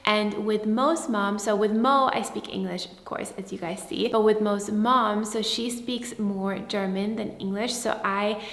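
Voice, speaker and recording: A young woman from Sweden, a native Swedish speaker, talking in a soft, earnest way and often saying "like" while she answers viewer questions. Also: medium pitch, fairly even intonation, measured pace, few pauses. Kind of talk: casual Q&A to camera. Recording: echoey room with long reverb.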